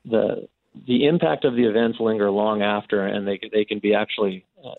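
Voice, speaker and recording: A Canadian man answering as a radio interview guest, speaking earnestly and haltingly, often repeating his words. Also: fairly low pitch, steady tone, gravelly, no echo, narrow, tinny audio. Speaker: interview guest, abuse survivor and advocate.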